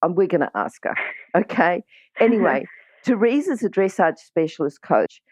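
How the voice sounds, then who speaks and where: A woman hosting a casual interview, chatty and quick to chime in with agreement. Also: fairly low pitch, very animated, darkish tone, breathy edge, dry recording. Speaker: podcast interview host.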